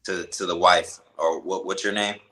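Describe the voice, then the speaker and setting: A man's voice: low voice, fairly even intonation, moderate pace, noisy background. A man conducting a casual interview, informal and feeling his way through his questions.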